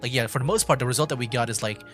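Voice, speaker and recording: A male game streamer with a medium-pitched voice, giving casual commentary. He muses aloud informally and hesitantly, with faint game music underneath. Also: racing, fairly bright tone. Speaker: livestreamer playing a video game.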